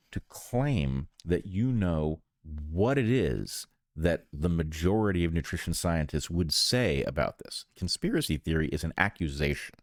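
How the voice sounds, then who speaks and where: A man talks through an argument as a podcast co-host. He is deliberate and emphatic, and the sound is close and dry, with very little room. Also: sits low, no room sound. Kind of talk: analytical podcast discussion.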